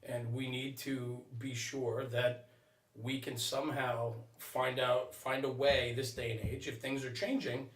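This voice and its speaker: Male podcast guest, mid-pitched, earnest and halting discussion, roomy sound, set back from the mic. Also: little pitch movement. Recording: roomy echo, distant microphone.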